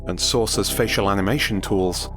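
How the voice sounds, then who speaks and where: A man's voice: lowish voice, normal speed, over music. A man delivering scripted documentary narration about the past.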